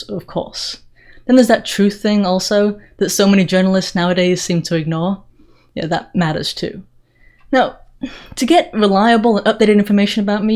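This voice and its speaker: A woman's voice: mid-range voice, a little mellow. A woman from England with an accent mixing Northern English and Southern American, speaking earnestly and emphatically straight to viewers as an opinionated commentary host. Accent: mix of Northern English and Southern US. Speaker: political commentary video host. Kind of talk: direct-to-viewer channel introduction monologue.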